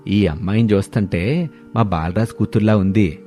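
A male storyteller voicing a groom's middle-aged father, measured and matter-of-fact. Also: lowish voice, warm tone, lightly acted. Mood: reflective. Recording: background music under voice.

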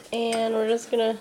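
A woman's voice: on the high side, darkish tone, slight background noise, rough recording. A woman in her twenties with a congested voice from a cold, chatting casually through a food diary vlog, rambling and laughing often, filmed on a handheld camera in her home kitchen. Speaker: vlogger. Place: home kitchen and living room. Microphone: handheld camera at arm's length.

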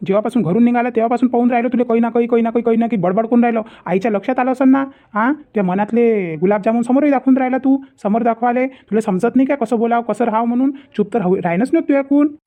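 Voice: medium pitch, racing, few pauses, close to an everyday voice. A performer voicing a cheeky, grumbling young village lad in a comedy cartoon, with a Vidarbha Marathi accent and a habit of repeating himself. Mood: irritated. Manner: muttering complaints, repeating himself. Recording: muffled, phone-like lo-fi sound.

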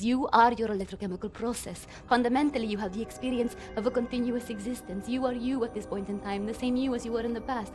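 A woman's voice: fairly high pitch, natural voice. An actress playing a brilliant, bitter woman scientist in a video game, lecturing in a condescending, clipped way. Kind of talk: dramatic video game acting. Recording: music behind the voice.

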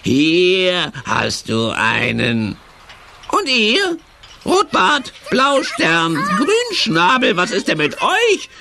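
Fake-kind villain, children's radio play, wildly swinging pitch, extremely drawn-out, wheedling. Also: huge pitch swings, gravelly, sharp tone, slight background noise, full character voice. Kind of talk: children's audio drama acting.

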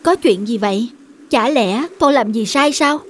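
A woman voicing a gentle, firm mother goddess in a myth cartoon, patient and instructive. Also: on the high side, unhurried, a little hiss or hum, natural voice. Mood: gently firm. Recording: music behind.

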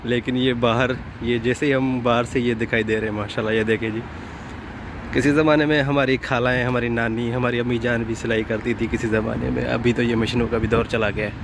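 A man narrates a casual vlog as he goes about in a car and outdoors. His voice sits at an average pitch and his talk is informal, dotted with admiring exclamations. It is recorded on a phone without a microphone, with plenty of traffic and outdoor noise. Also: level tone, brisk. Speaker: vlogger and delivery driver. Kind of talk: casual vlog commentary on the sights. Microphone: phone held in hand, no external mic.

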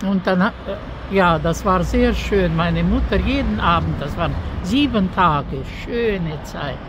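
An older woman giving a spontaneous, prayerful personal testimony of faith, haltingly, searching for words as she goes. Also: on the low side. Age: older, over 63. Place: outdoors by an apartment entrance on a street. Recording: outdoor street noise.

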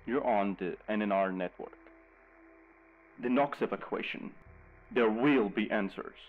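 An actor playing a grave radio news host, reading a scripted bulletin in a measured, urgent way over a lo-fi broadcast. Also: male voice, fairly low pitch, slight background noise, natural voice. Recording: dull lo-fi radio broadcast sound.

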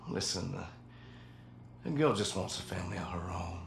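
An actor playing a weary, haunted older father, pleading with slow sighs. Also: deep voice, animated, gravelly, slight background noise, heavily acted. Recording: echoey with loud music.

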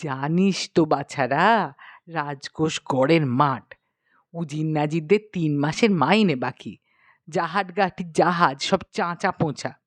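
A fretful middle-aged queen mother from a storybook, acted out by a woman reader. Her voice sits low and she chides like a nagging, worried parent, exclaiming as she goes. The sound is dry and close. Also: slow pace, dry recording. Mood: anxious warning. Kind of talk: dramatised storybook reading.

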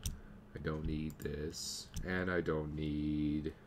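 A young man with a slight cold, casually commenting on his game as he plays and thinking aloud, now and then clearing his throat. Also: rumbling low, level tone, very slow, slight background noise. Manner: easygoing, thinking aloud while playing. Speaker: gameplay commentator. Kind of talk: casual let's play commentary.

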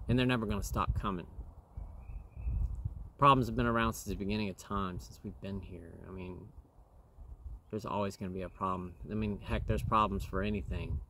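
Hoarse man talking outdoors among trees. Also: on the low side, a bit bright, a little hiss or hum. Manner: rambling, lots of fillers, earnest. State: slightly hoarse, throat-clearing from dust. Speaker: vlogger. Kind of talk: casual talk to camera. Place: outdoors in a wooded yard. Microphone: camera in front at close range.

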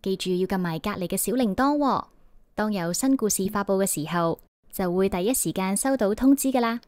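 A woman's voice: mid-range voice, animated, a little fast, darkish tone, dry recording, clean studio sound. A woman with a Hong Kong Cantonese accent delivering a scripted channel promo as an announcer.

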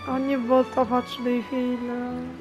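A woman's voice: a little high, monotone, unhurried. A woman from Italy giving casual, chatty, informal commentary as a gaming YouTuber. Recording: game audio and music behind. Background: video game music and dialogue.

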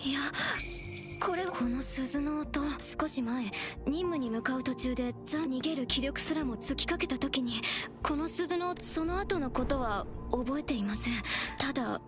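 A woman's voice: sits high, a little slow. A woman voicing a polite, anxious young woman searching for her brother in a game dub. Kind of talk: Japanese game dub acting. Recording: game audio with loud music.

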